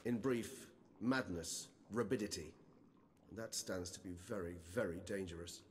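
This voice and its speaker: Learned gentleman vampire male actor: older, fairly low, articulate and deliberate, fantasy game dialogue in a reverberant hall. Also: a little hiss or hum, lightly acted. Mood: grave, explanatory. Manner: formal, precise, articulate, deliberate phrasing. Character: erudite, courteous, measured older male vampire. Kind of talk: video game cutscene acting. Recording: long hall reverb, faint background ambience.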